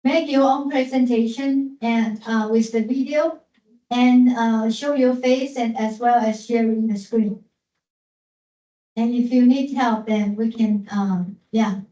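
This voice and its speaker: A woman's voice: fairly high pitch, fairly even intonation, unhurried, mellow. A woman teacher giving classroom instructions with many fillers and repeated words. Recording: echoey classroom from far off, dull.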